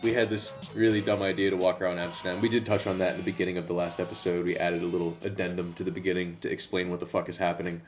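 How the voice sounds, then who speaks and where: A man's voice: low voice, flat delivery, very fast, few pauses, soft background music. A young man hosting a casual podcast, rambling with many restarts and casual swearing. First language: English. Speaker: podcast host. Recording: slightly dull lo-fi sound.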